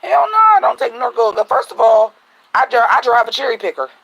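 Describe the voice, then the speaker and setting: A man vlogging at home at night, venting bluntly and profanely in a casual monologue, his voice a little raspy. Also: extremely high pitch, huge pitch swings, fairly quick, fairly bright tone, dull audio.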